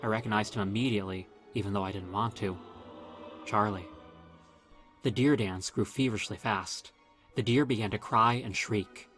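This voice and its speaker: A man reading a scripted first-person horror story as narrator, in a dramatic, measured storytelling manner. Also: on the low side, steady tone, fairly bright tone, soft background music.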